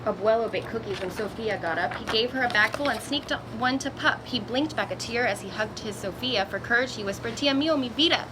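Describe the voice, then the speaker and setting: A woman reading a children's picture book aloud in an expressive storytelling manner, with little asides to young listeners. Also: fairly high pitch, fairly quick, hardly any pauses, background noise. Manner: expressive, acts out exclamations and dialogue.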